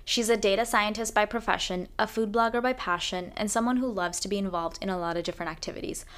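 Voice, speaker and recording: A woman's voice: mid-range voice, fast talker, a bit bright, clear, resonant tone. A woman speaking as a podcast host, introducing the show and interviewing a guest.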